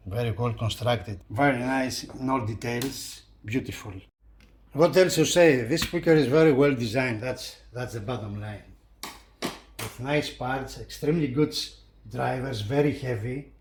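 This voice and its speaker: Slow-paced man giving a halting product walkthrough. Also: medium pitch, slight background noise. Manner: halting, repeats phrases as he points things out. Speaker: hi-fi reviewer presenting a loudspeaker. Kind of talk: casual hands-on video commentary.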